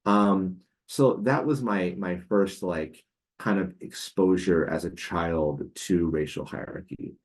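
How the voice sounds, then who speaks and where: A man's voice: low voice, takes it slowly, darkish tone. A man in his forties, a podcast guest, telling a personal story in a casual, hesitant way with many fillers and restarts. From United States.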